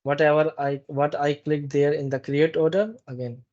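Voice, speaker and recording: Guy training staff on software, mellow kinda high voice, halting, repeats himself, muffled lo-fi audio. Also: flat delivery. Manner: halting, instructive, repeats phrases. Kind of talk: live training walkthrough with screen demonstration. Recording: dull, muffled lo-fi meeting recording.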